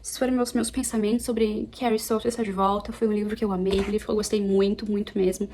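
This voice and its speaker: A chatty young woman from Brazil talks through a book review in a casual home vlog. She sounds animated and keeps rephrasing herself as she goes, at a middle pitch that is ordinary for a woman. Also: quick pace, a little hiss or hum. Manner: chatty, animated, self-correcting. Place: apartment at home.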